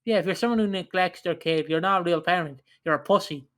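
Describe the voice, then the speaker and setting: A man's voice: high voice, quick pace, crowd noise. A man co-hosting a casual podcast, blunt and heated, swearing freely. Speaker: podcast co-host.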